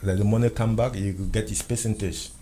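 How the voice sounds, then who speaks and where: Earnest French-speaking man, low voice. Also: fairly even intonation, a little fast, mellow, slight background noise. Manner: repeats and restarts phrases, earnest and insistent. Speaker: guest. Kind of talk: recounting a personal ordeal in conversation.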